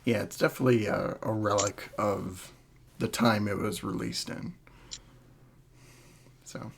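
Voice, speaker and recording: A man hosting a casual podcast chat, talking in a halting, hedging way as he thinks aloud. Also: on the low side, steady tone, gravelly, slightly rough. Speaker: podcast host.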